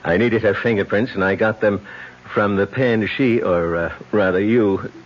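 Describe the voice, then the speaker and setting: An actor playing a shrewd, smug insurance investigator in a radio drama, measured and wry. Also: male voice, fairly low pitch, natural voice. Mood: smugly explaining. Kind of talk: 1970s radio drama acting. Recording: muffled old radio recording.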